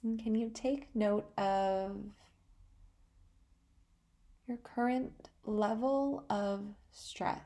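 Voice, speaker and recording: A woman hosting a guided relaxation meditation, speaking calmly and soothingly in short phrases with long pauses. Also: fairly high pitch. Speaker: guided meditation podcast host.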